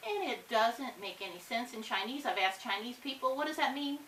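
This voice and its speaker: A woman's voice: a little high, lively intonation, a little fast, a bit bright, echoey room. A woman chatting casually in a home video, telling a rambling story, recorded from some distance in a room with light hiss. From Iowa. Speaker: vlogger.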